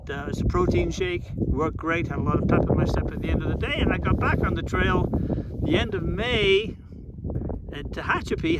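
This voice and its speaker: A man in his sixties talks casually with fellow hikers on a trail, his voice sitting high. He jokes, laughs often and repeats his words as he goes. Loud wind batters the microphone outdoors. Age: 60s. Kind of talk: casual chat.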